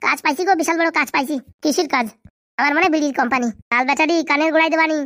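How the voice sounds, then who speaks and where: Casting: brash comic cartoon schoolboy, acted out in a put-on voice, Bengali accent, piping high register, quick delivery. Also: pushed and bright, dry recording, studio quality. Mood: brash, excited. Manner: brash, colloquial, bantering. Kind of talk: Bengali comedy cartoon dialogue.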